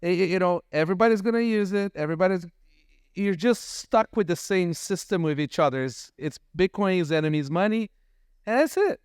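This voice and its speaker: A man on a conference panel speaking in dry, deadpan quips. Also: high voice, fairly quick, clean tone, no room sound. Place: conference stage.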